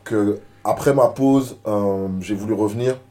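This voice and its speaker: A man's voice: lowish voice, measured pace, warm tone. A rapper being interviewed, talking casually and often repeating words while he searches for them. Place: media office.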